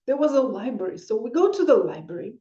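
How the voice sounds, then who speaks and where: A woman in her forties speaks in English, telling a long personal story in a loose, rambling way and stopping now and then to hunt for a word. Her voice is soft and mellow. The sound is roomy and a little dull. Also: fairly high pitch, very animated, fast talker. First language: Russian. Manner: rambling, searching for words, non-native grammar. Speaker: vlogger.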